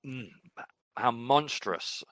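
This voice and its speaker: A man's voice: mid-range voice, very slow, a crowd in the background. A man in his thirties co-hosting a casual podcast, talking in a reflective, rambling way with many fillers and restarts. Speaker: podcast co-host. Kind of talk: casual chat.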